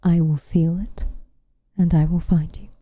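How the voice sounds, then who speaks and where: A woman playing a tender, protective witch with a dry teasing streak in an intimate ASMR roleplay, speaking softly and breathily, close to the mic. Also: lowish voice, little pitch movement, no echo. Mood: tender, concerned. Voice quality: soft, breathy, near-whispered. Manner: gentle, measured, quietly teasing. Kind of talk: intimate ASMR roleplay, scripted character acting. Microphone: close studio mic, dry.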